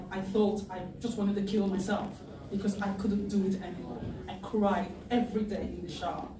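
A middle-aged woman giving a heartfelt personal testimony at a lectern, earnest and emotional, pausing to gather herself. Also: mid-range voice, noisy background. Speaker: patient campaigner giving a speech. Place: wood-panelled meeting room, indoors, at a lectern. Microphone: far camera in the audience. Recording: echoey room from far off, faint ambience.

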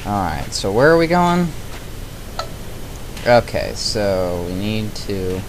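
A man's voice: on the low side, very animated, takes it slowly, slight background noise, poor audio. A man giving casual commentary while playing a video game, thinking aloud and questioning himself. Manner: thinking aloud, chatty, wondering and self-questioning. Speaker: let's play gamer.

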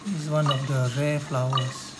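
A woman giving casual commentary while she plays a game, with loud game music over her voice. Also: very deep voice, flat delivery, normal speed, very noisy. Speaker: gamer recording a walkthrough.

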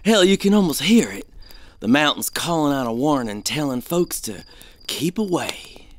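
An actor playing a superstitious, fearful treasure hunter in an audio drama, with a folksy, foreboding way of talking. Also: male voice, on the high side, big pitch swings, normal speed. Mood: ominous.

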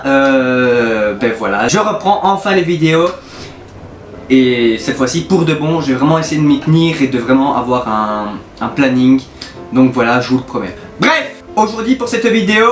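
A man, chatting casually to camera in a playfully exasperated, teasing manner. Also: on the high side. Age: early twenties. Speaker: YouTuber hosting a prank video. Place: small bedroom, indoors. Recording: roomy echo, music behind.

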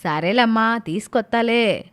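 A woman's voice: on the high side, unhurried, fairly bright tone. A woman playing a fond, teasing village mother who scolds affectionately in homely colloquial speech, in a story cartoon. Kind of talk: animated moral story dialogue.

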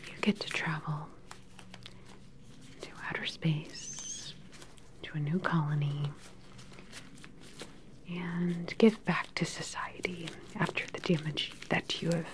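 A woman in her thirties playing a calm, sinister scientist in an ASMR sci-fi roleplay, whispering softly, close to the mic, with a clinical, condescending manner. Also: low-pitched. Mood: clinical, controlled. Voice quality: soft, breathy whisper. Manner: unhurried, clinical, quietly condescending. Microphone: close microphone, seated facing the camera.

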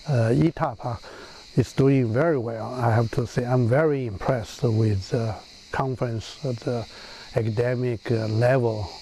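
A middle-aged man from Taiwan, an institute director, speaking slowly and deliberately outdoors. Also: medium pitch, dark tone, a little hiss or hum, rough recording. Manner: slow, deliberate. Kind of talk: interview. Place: outdoors among trees.

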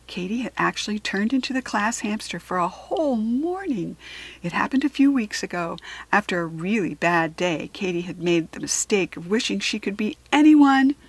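A woman with a standard American accent reading a children's chapter book aloud in an expressive, teacherly way. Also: medium pitch, normal speed, husky.

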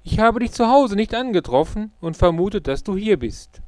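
Man acting a breezy, high-pitched salesman pal. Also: expressive, moderate pace, darkish tone, no room sound, studio quality. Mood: breezy, confident. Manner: breezy, emphatic salesman's delivery. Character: brisk, jovial, red-haired salesman, an old friend. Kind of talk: audiobook character dialogue.

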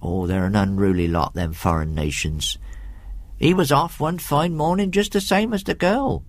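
A man's voice: mid-range voice, moderate pace, no room sound, clean studio sound, close to an everyday voice. A man voicing a kindly, chatty older housekeeper woman with folksy servant speech in a story. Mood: sighing, gossipy. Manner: chatty, folksy, dialect grammar.

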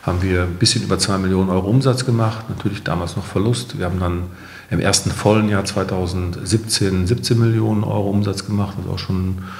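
A man's voice: low-pitched, steady tone, quick pace, creaky, a little hiss or hum. A middle-aged man speaking conversationally as a company founder and guest in a podcast interview. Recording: echoey room with long reverb.

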